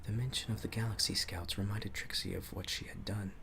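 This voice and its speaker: A man reading a bedtime story in a hushed, soothing ASMR narration. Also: on the low side, fairly even intonation, fairly quick, vocal fry, a little hiss or hum. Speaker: storybook reader.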